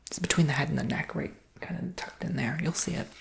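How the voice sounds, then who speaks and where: A woman whispering in a breathy voice with a creaky undertone, giving casual, reassuring sales patter as an ASMR roleplay. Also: sits low, quick pace.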